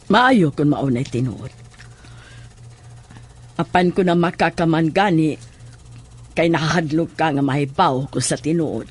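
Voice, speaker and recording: A woman playing a stern, suspicious middle-aged mother with a Cebuano Filipino accent in a horror audio drama, speaking in a probing, deliberate way. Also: deep voice, husky. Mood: suspicious, confronting. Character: stern, suspicious mother questioning her child.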